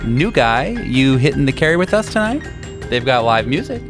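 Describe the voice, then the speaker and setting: Audio drama: young woman agent male performer, frazzled and teasing, lifted pitch, very lively delivery. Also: moderate pace, over music. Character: stressed young female agent, teasing and brisk.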